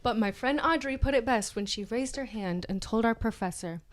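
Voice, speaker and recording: High-voiced giggly woman reading aloud. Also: normal speed. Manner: giggly, often breaking into laughter while reading. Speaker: podcast co-host. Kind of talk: mock-dramatic article reading with joking asides.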